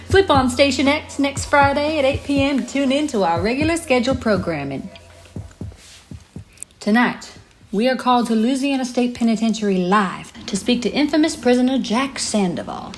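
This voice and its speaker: Audio play role: woman as composed female radio presenter, fairly high voice, dramatic polished announcing over clear music. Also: close to an everyday voice. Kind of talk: scripted radio broadcast presenting.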